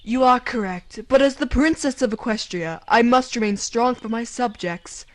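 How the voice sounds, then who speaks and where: A woman's voice: on the high side, moderate pace, natural voice. A woman playing a regal, dutiful pony princess in a formal, stilted manner for a fan audio drama. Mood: solemn worry.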